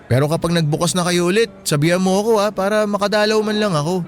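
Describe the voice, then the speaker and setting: A man's voice: high-pitched, steady tone, fast talker, soft background music, close to an everyday voice. A man playing a frank, teasing teenage boyfriend in a Filipino radio drama, with a Filipino accent. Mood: teasing, affectionate. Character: teasing, blunt, sweet teenage schoolboy boyfriend.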